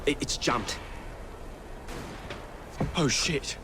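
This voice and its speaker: Give me a jittery London petty thief. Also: male voice, medium pitch. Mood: nervous, eager. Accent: London working-class English. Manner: stammering, slangy, hurried. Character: nervous, eager male London petty thief. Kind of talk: video game cutscene acting. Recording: loud clattering noise behind.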